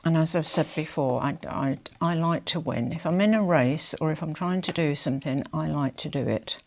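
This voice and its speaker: An older woman with a croaky, hoarse throat, chatting casually to camera as a fashion vlogger, rambling with confiding asides. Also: sits low, normal speed. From England.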